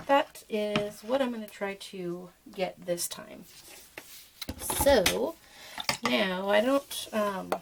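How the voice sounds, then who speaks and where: Woman, hoarse and froggy, gravelly fry, easygoing rambling how-to chat, fillers. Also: mid-range voice, background noise. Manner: relaxed, rambling, with many fillers. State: hoarse, froggy voice from being sick. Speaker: soap maker and channel host. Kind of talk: casual how-to demonstration.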